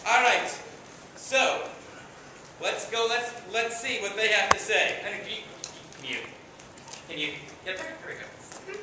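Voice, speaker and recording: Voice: medium pitch, lively intonation, unhurried, pauses often. A host narrating a live children's school play, encouraging and directing the young performers line by line. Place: large echoey room at a school event. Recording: echoey noisy hall, heard from far off.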